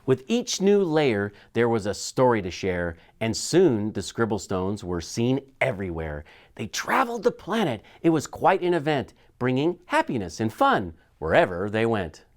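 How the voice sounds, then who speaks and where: Animated man reading a children's story. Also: mid-range voice, moderate pace, few pauses. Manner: animated storytelling with dramatic shifts. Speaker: school superintendent reading aloud. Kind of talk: expressive children's picture book read-aloud.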